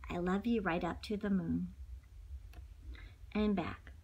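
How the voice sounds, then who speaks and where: A woman voicing a gentle, loving big father hare in a storybook, slow, fond and reassuring. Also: on the low side, steady tone, breathy edge, lo-fi sound, voice pushed down, heavily acted. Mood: tender, hushed.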